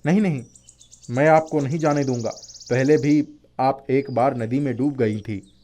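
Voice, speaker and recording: Guy doing a brave young prince for a fairy tale, medium pitch, polite, formal, gallant. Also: a little slow, warm tone, close to an everyday voice. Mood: wary, protective. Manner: formal, gallant, earnest delivery.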